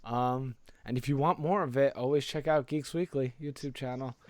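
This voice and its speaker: A man talks as a podcast co-host in a dry, close-sounding recording. His pitch is medium, and his delivery is informal and conversational, peppered with rhetorical check-ins. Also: fairly even intonation, moderate pace, a little mellow, no room sound. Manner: informal, rhetorical tag questions.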